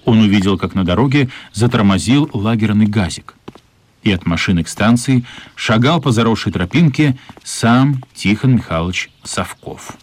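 An actor as the narrator of a children's radio play, reading scripted storybook prose. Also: male voice, on the low side, lively intonation, moderate pace. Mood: measured.

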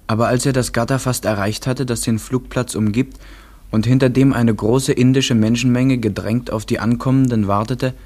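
A man narrating a literary radio play in a measured, precise manner. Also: medium pitch, steady tone, a little mellow.

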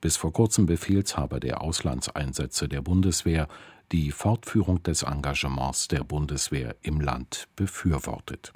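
A man's voice: a bass voice, normal speed, a little mellow, breathy edge, no echo. A man reading scripted, news-like narration.

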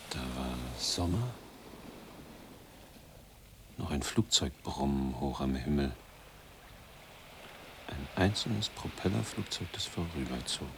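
A weary man in his forties, haunted by memory, in a radio drama monologue. His low voice moves slowly and haltingly through brooding, self-mocking fragments. Noticeable noise lies behind the voice. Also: lively intonation, full character voice. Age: middle-aged. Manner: halting, brooding, in short fragments, often dropping toward a whisper. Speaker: monologue narrator.

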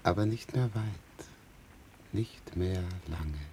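An actor playing a world-weary, resigned immortal old professor in a 1970s radio drama, with a gravelly voice. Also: male voice, low voice, slow, a little mellow. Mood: weary resignation. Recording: faint noise of rain and thunder.

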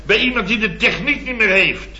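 An actor playing an exasperated, blustering boss in an old radio comedy, telling indignant tales with mimicked quotes. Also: male voice, sits high, brisk, sharp tone. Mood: gleefully appalled. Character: blustering, exasperated male boss of a building firm. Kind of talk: 1970s Dutch radio comedy acting. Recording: muffled old radio recording, roomy, studio audience.

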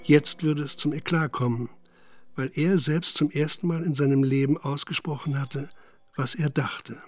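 A man narrating a radio play in a measured, matter-of-fact literary style. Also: a little high, steady tone, lightly acted. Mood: measured, sober. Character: sober male narrator recounting a village scandal.

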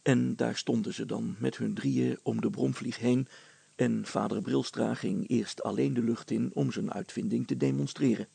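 A man reading a storybook aloud as an expressive narrator. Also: medium pitch, normal speed, gravelly, breathy edge, dry recording.

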